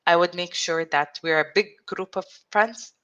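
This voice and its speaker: A woman in her thirties, an interview guest telling her own story in an earnest, reflective way, speaking with a stutter, frequent restarts and pauses. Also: lowish voice, fairly bright tone, highs cut off. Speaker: interview guest, support group founder. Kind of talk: personal storytelling in conversation.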